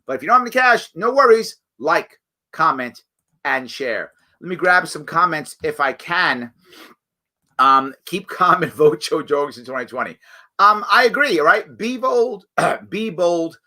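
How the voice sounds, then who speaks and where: A man hosting a live stream, talking casually and reading viewer comments, his voice raspy and a bit hoarse from weeks of campaigning. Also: fairly high pitch, measured pace. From New York State. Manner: conversational, animated, frequent fillers and restarts. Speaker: show host.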